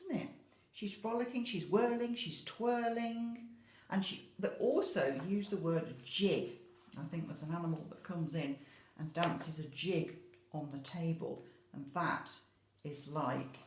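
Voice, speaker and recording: The recording is roomy and echoey. A British woman with a slightly low voice speaks as an infant school teacher to young children. She explains storybook words expressively, leaning on key words and adding little questions to keep them involved. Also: darkish tone. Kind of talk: educational talk to young children about a picture book.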